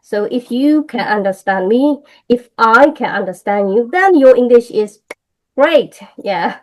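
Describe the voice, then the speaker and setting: A woman's voice: fairly high pitch, lively intonation, measured pace. A woman from Myanmar speaking English with a Burmese accent, an English teacher chatting in an interview, hesitant and full of fillers and restarts. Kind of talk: casual interview conversation.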